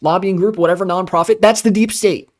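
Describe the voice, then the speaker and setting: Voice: medium pitch, steady tone, brisk. A streamer rants about politics in a loose, casual way. The delivery is sarcastic and full of swearing. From United States. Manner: ranting, profane, sarcastic.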